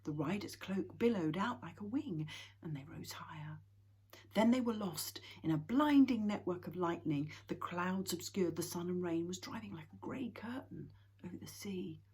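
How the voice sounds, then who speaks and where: A woman reads a children's storybook aloud, often dropping into a hushed whisper. Her voice sits low and is extremely breathy and husky. The delivery is quiet and intimate. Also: animated, moderate pace.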